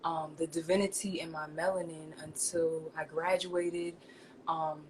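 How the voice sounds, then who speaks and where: New woman guest, expressive and spiritual, lowish voice, video call. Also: measured pace, slight background noise. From New York, United States. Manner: spiritual, expressive, talks in lofty phrases. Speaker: guest, chef and seasoning maker. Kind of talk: interview answers.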